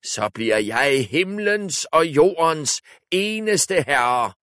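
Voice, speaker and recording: A man's voice: a little high, animated, a bit bright, no echo, studio quality, voice pushed up. A man voicing a scheming, power-hungry male sorcerer who gives orders with a snappish, commanding manner.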